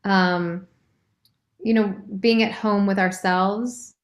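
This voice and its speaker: A woman guest speaks reflectively at a middling pitch and thinks aloud with many fillers. Her voice carries a roomy echo, as if she is in a bare room on a video call. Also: steady tone, slow. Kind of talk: casual conversation. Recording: roomy, echoey sound.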